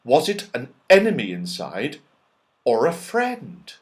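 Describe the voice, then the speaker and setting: A man's voice: mid-range voice, wildly swooping pitch, slow pace. A man narrating a children's story expressively, with dramatic and emphatic phrasing. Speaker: audiobook narrator.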